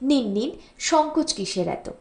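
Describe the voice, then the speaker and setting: Woman reading as a fussy, imperious older gentleman host. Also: sits high, big pitch swings, a little slow, gravelly, full character voice. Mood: insistent urging. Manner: hasty, scolding, insistent. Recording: roomy, echoing voice recording.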